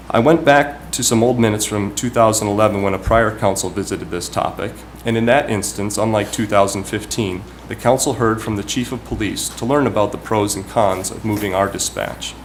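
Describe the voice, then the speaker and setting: A man giving prepared, formal remarks as a town councilman at a public meeting, speaking in a measured, deliberate way. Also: on the low side. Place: municipal meeting room. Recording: clearly noisy public meeting recording.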